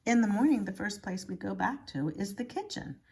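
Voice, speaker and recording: A woman's voice: medium pitch, expressive, fairly quick, vocal fry, a little mellow, slight background noise. A woman reading a children's picture book aloud like a schoolteacher, expressively and with exaggerated emphasis.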